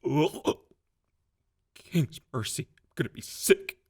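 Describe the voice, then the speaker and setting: A woman's voice: rumbling low, animated, slow pace, darkish tone, husky, exaggerated voice. A woman playing a panicky, squeamish deputy guard who stammers nervously in a post-apocalyptic radio drama. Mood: nervous, panicked.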